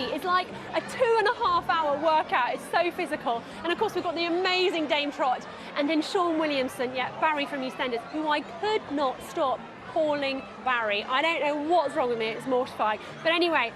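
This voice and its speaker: A British woman presenting in an animated, playful, chatty vlog style. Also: high voice, moderate pace, few pauses, crowd noise, background noise.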